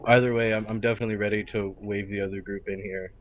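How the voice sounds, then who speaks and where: A man playing a role-play game over online voice chat, casually and deliberately talking through each move. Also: lowish voice, little pitch movement. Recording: dull, lo-fi voice chat audio.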